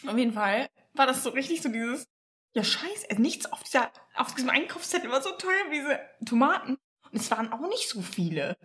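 A young woman co-hosting a casual podcast chat, loud and laughing, with frequent swearing. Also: high-pitched, sing-song, fairly quick. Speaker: podcast co-host.

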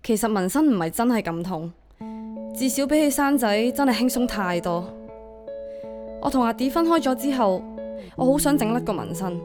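A woman's voice: a little high, lightly acted. A woman acting a burdened, devoted young single mother in a radio drama, emotive and confiding, with a Hong Kong Cantonese accent.

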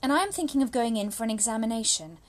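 A woman acts out a young Victorian lady with a high voice, anxious yet spirited. She reads her private letters as a dramatic monologue, confiding in genteel, formal phrases with expressive delivery. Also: fast talker, close to an everyday voice. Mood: wistful, restless.

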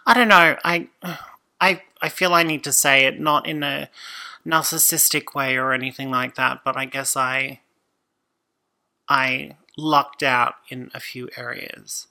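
A woman, a podcast guest talking casually and thoughtfully, often pausing and restarting. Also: very deep voice, fairly even intonation, creaky, bright, forceful tone. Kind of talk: casual conversation.

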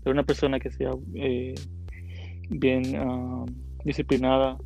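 Gravelly Dominican-born man, hesitant. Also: mid-range voice, completely flat delivery, a little slow, a little mellow, faint music behind, low-quality recording. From Dominican Republic. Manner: hesitant, many fillers, mixes in English words. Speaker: interview guest, content creator. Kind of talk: casual interview answers.

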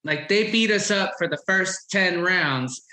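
A man hosting a casual interview, talking in an animated, rambling way and repeating himself. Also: sits high, a little slow. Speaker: host. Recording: roomy echo.

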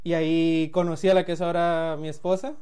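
A man's voice: fairly high pitch, flat delivery, fairly quick, a crowd in the background. A man in his late twenties from Sinaloa with a Mexican accent, a guest telling his story in a loose, laughing way, restarting phrases and acting out quotes. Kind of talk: casual storytelling chat.

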